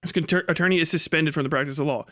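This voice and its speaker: A man's voice: a little high, fairly even intonation, rapid-fire, sharp tone. A man livestreaming mocking commentary on a video, casual and sweary, with incredulous exclamations.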